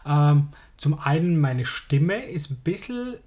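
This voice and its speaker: A hoarse man with a Swiss German accent, hosting a solo podcast and chatting informally, straight to the listener. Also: fairly high pitch, big pitch swings, takes it slowly, darkish tone. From Switzerland. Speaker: podcast host. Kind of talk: casual solo podcast monologue.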